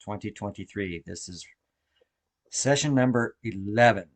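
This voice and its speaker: A man leading a guided meditation as instructor, speaking gently in short, measured phrases with long pauses between them. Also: on the low side. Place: online video call session.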